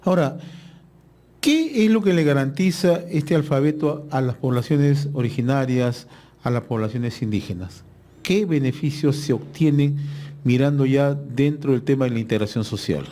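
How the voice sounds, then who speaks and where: A man's voice: mid-range voice, expressive, moderate pace, dark tone. A male interviewer who asks probing follow-up questions and briefly acknowledges the guest's answers.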